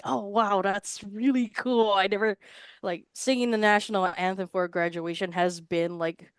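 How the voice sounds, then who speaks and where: A woman's voice: on the low side, clean tone, no room sound, studio quality. A woman in her twenties from Hawaii, chatting casually as a podcast guest and rambling freely with many fillers. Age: 20s. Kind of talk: casual interview answers.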